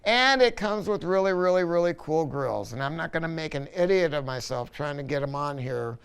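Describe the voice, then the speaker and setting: Higher-pitched, clear-voiced man reviewing gear. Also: lively intonation, a little fast, fairly bright tone. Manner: folksy, colloquial, emphatic salesman's delivery. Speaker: audio shop presenter reviewing a loudspeaker. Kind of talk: casual product review monologue.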